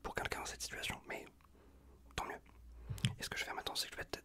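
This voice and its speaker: Guy whispering asmr right up on the mic, bright breathy hiss, casual and reassuring exam roleplay. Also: fast. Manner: hushed, reassuring, repetitive, casual slangy talk. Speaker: ASMR performer playing an untrained medic. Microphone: close USB condenser microphone.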